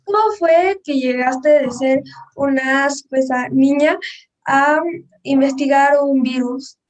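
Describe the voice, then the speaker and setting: A woman's voice: high-pitched, steady tone, slow. A woman interviewer putting prepared questions in a polite, hesitant manner. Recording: distant from the microphone.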